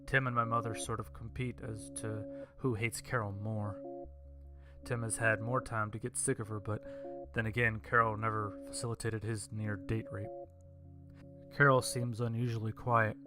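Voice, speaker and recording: A man's voice: lowish voice, little pitch movement, normal speed. A man reading a scripted story as its narrator. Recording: music behind the voice. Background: music.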